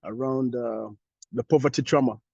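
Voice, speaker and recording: A young Ugandan man speaking English with a Ugandan accent, answering thoughtfully as a panelist in an online discussion, with many pauses and restarts. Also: mid-range voice, fairly even intonation, gravelly, a little mellow, slightly rough, no echo, lo-fi sound.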